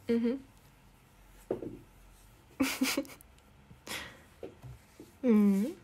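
Breathy, high-voiced giggly girlfriend, acted by a woman. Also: full character voice. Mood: teasing, comforting. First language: not English. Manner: giggly, teasing, rambling with pet names. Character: giggly, teasing, affectionate gamer girlfriend comforting her partner. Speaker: ASMR roleplay performer. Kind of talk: intimate girlfriend roleplay ASMR, casual improvised-sounding chat.

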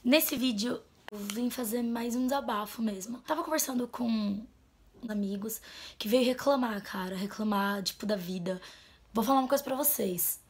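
A young woman, talking into a camera in a small bedroom. Her voice sits a bit high, and she is blunt and emphatic. She drops low, then turns loud to drive a point home. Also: moderate pace, slightly rough. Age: 20s. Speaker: vlogger. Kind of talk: heartfelt vent to camera. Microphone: camera mic at close framing.

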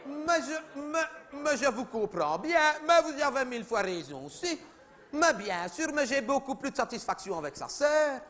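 A man doing a high-pitched, chattering mother. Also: very animated, normal speed, fairly bright tone, heavily acted. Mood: chatty. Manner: rambling, gossipy, repeats "oui, oui", talks over others. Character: chatty, oblivious middle-aged mother at a school meeting. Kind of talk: comedy sketch monologue.

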